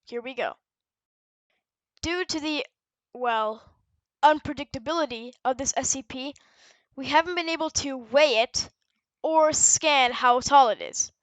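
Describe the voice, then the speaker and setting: A woman's voice: high-pitched, a little slow, pauses often, dry recording. A woman narrating a research log in a matter-of-fact, explanatory way, partly scripted and now and then correcting herself. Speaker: narrator presenting a fictional research audio log.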